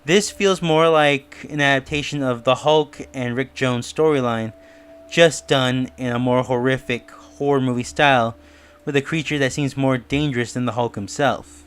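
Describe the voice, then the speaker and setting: A man's voice: medium pitch, normal speed, fairly bright tone, smooth. A man giving a casual review and theory commentary in a musing, speculative way. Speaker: YouTube reviewer. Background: music.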